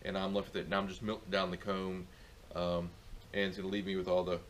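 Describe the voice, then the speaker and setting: A man's voice: sits low, level tone, fast talker, slight background noise. A middle-aged man giving a casual outdoor how-to as a beekeeper, rambling with many fillers and restarts, recorded on a camera mic in a farmyard.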